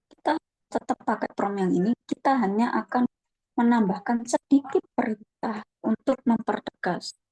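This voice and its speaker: A woman walks viewers through an online tutorial in a relaxed, chatty way, pausing to think aloud with fillers. Her voice is slightly high and mellow, picked up close and dry. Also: breathy edge, dry recording, low-quality recording. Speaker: webinar presenter.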